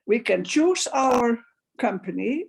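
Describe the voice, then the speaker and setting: A Dutch-accented woman speaks slowly as a panelist on a video call. Her voice has a gravelly fry, and she pauses often with fillers while searching for English words. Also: on the high side, sing-song. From Netherlands.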